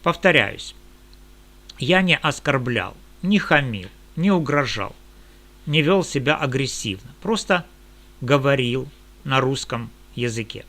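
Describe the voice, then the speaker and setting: A man who works as a lawyer, giving a measured, indignant monologue in formal legal language. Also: mid-range voice, lively intonation, bright, forceful tone.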